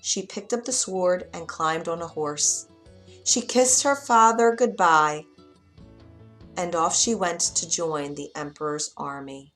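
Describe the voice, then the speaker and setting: A woman narrating a children's bedtime story with a clear, expressive reading. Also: lowish voice, slow, soft background music.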